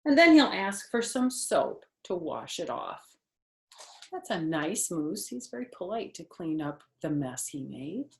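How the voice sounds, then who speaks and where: A woman's voice: lowish voice, very animated, vocal fry, roomy sound. An older woman reading a picture book to children in a grandmotherly, chatty way, with casual asides to her listeners. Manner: grandmotherly, chatty, asking listeners questions and pausing on phrases. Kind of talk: animated read-aloud with casual asides.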